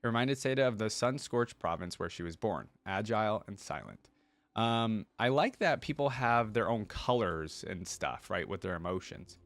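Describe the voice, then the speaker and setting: A man reads a story aloud in a casual, reactive way. His delivery is animated, and he slips easily into offhand commentary. The sound is dry, with very little room. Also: fairly low pitch, normal speed, dry recording. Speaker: reaction video host.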